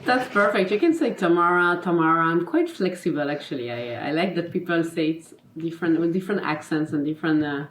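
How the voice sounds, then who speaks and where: Swiss-French woman, low voice, roomy sound. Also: lively intonation, a little fast, few pauses, a little hiss or hum. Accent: French-speaking Swiss. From Geneva, Switzerland. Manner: chatty, laughs often, many fillers like "you know" and "like". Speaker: guest, confidence and life coach. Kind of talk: casual interview chat.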